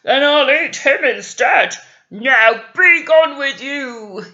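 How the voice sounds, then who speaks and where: A woman voicing a big, hungry, greedy male troll in a children's story, loud and roaring. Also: sits high, lively intonation, takes it slowly, dull audio, exaggerated voice.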